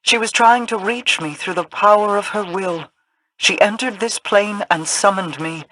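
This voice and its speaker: A cold, regal queen of an otherworldly people played by a woman for a video game. She speaks formally and haughtily, each phrase measured. The voice sits at a middle pitch with a bright, cutting edge. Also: dry recording, natural voice, poor audio. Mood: imperious.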